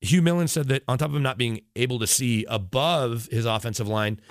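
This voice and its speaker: A man's voice: mid-range voice, fairly quick, no room sound. A man talking as a sports radio analyst in casual conversation, opinionated and emphatic, often digressing as he makes his points.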